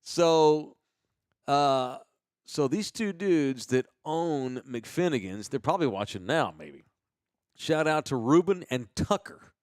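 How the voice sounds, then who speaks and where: A man's voice: a little high, expressive, takes it slowly, darkish tone, clean tone, no echo, clean studio sound. Profane, rambling American man hosting a podcast. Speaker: host of a live podcast. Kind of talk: casual banter and sponsor shout-outs.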